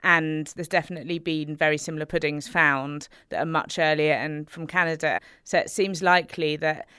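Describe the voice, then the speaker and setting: Low-voiced, smooth woman musing aloud. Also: steady tone, moderate pace, bright, forceful tone, dry recording, clean studio sound. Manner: thoughtful, hedging with many "sort of"s. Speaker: food writer, interview guest. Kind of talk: interview answers.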